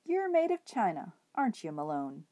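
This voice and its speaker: A woman voicing an enormous bearded male hobo who speaks in a gently formal, unhurried, courtly way. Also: sits high, huge pitch swings. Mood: genial.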